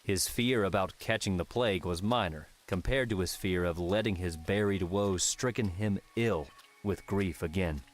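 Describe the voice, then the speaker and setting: A man's voice: low-pitched, normal speed, few pauses. A man narrating a fantasy adventure audiobook from a script.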